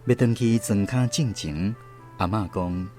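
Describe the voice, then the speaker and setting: A woman's voice: a bass voice, measured pace, mellow. An actress playing a daughter who narrates her family's past in Taiwanese Hokkien, in a radio drama. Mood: reminiscing. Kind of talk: scripted storytelling narration. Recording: music behind.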